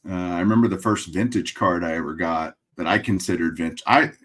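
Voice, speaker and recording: A middle-aged man with a Texas accent and a very low voice, speaking over a webcam from a room lined with framed memorabilia. He talks in a relaxed way, with dry jokes, and thinks out loud as he answers. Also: fairly quick. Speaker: guest collector. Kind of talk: casual interview over video call. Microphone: webcam video call.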